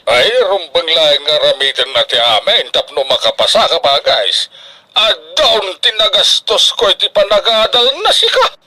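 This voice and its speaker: A devoted farmer father in a radio drama. His voice is very bright and forceful, swinging widely in pitch, with a proud, emphatic, theatrical delivery. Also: sing-song, moderate pace, heavily acted, rough recording. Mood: proud, boastful.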